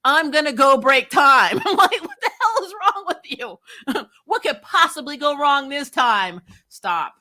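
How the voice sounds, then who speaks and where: Bright-voiced, sarcastic woman chatting bluntly. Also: high voice, a little slow. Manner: animated, sarcastic, exasperated, blunt; casual swearing. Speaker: host of a TV show review channel. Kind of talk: casual spoiler recap chat, reading and answering viewer comments.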